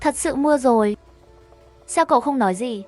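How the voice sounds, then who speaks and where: A woman with a high-pitched voice narrates a scripted horror animation story, voicing the dialogue as she goes. Faint music plays underneath her. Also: moderate pace, darkish tone.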